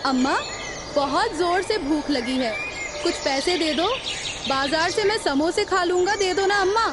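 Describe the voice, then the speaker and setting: A woman's voice: extremely high pitch, a little slow, very noisy. A woman voicing a hungry village boy who pleads with his mother and a shopkeeper for samosas. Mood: pleading. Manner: whiny, pleading. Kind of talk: cartoon voice acting.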